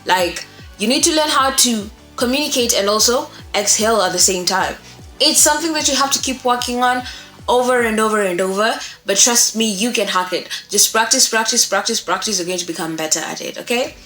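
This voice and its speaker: A woman's voice: fairly high pitch, lively intonation, a little fast, a bit bright. A woman in her twenties giving an informal lesson to camera at home, conversational and emphatic, often repeating words and checking in with the listener. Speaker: voice-over course presenter, voice actor. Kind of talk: informal instructional talk to camera. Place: small room at home, indoors. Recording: roomy echo, faint music behind. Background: faint music.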